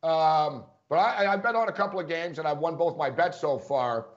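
Man, sports talk co-host, slightly high voice, casual chatter, dull lo-fi recording. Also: fairly quick. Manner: chatty, laughs often, many fillers and restarts. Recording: dull lo-fi sound.

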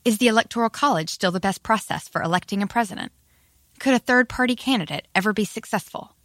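A woman announcer giving a clear, polished scripted promo read. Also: mid-range voice, fast, a bit bright, no room sound.

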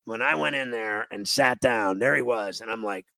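A man hosts a radio show, talking in a rough, grating, gravelly voice. He rambles and mocks himself through a long story, packing his talk with fillers. The sound is dry and close to the mic. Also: medium pitch, moderate pace, bright, forceful tone, no room sound, low-quality recording. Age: 50s. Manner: rambling, self-mocking, full of fillers.

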